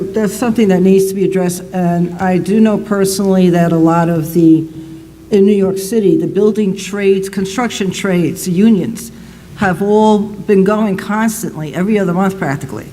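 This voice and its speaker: A loud, plainspoken man answering at a panel discussion, talking in a rambling way into a panel microphone at a public event. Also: high voice, moderate pace. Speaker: panelist, college president. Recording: roomy hall reverb, faint noise.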